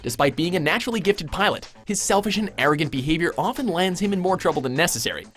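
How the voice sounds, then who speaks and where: A man's voice: high-pitched, animated, fast, a bit bright. A man reading scripted countdown narration with a playful, punchy fan-commentary delivery. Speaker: narrator of a top ten countdown. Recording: music behind the voice. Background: background music.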